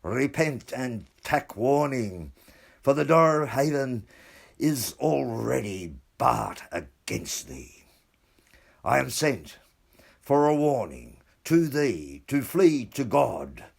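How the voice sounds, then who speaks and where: A man giving a measured, theatrical reading of an old ghost account, in a deliberate storytelling manner. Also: mid-range voice, very animated, gravelly. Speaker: reader of a literary work.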